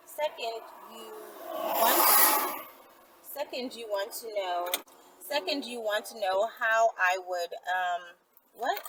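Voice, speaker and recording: A woman's voice: mid-range voice, fairly bright tone. A woman hosting a casual question-and-answer video, speaking in a frank, conversational and emphatic way. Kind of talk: casual chat. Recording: distant mic with clear background noise.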